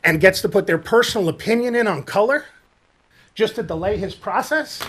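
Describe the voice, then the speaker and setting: A man's voice: high voice, lively intonation, fairly quick, breathy edge. A man, a homeowner making a public comment at a planning board meeting. He speaks in a pointed, argumentative way. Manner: pointed and argumentative, growing forceful.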